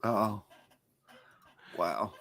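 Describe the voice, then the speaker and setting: A man guesting on a casual live stream, excitable and incredulous, his voice slightly worn from talking all day. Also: on the low side, extremely slow, no room sound. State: losing his voice.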